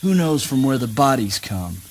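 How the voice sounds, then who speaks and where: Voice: medium pitch, sing-song, moderate pace, a little hiss or hum, close to an everyday voice. An actor playing an earnest, troubled seeker in a spoken word play, questioning in an insistent, formal way.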